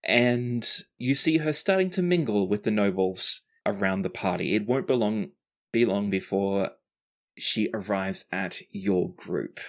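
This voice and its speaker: A game master improvising narration for a tabletop role-playing game in an animated storytelling manner. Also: sits low, measured pace.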